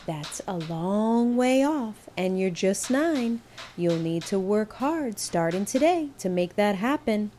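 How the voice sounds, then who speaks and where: A woman's voice: medium pitch, animated, slow, warm tone, slight background noise. A woman voicing a no-nonsense, loving mother who talks firmly to her children in a storybook. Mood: gently doubtful. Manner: firm, admonishing. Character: firm, loving mother of young boys, no-nonsense.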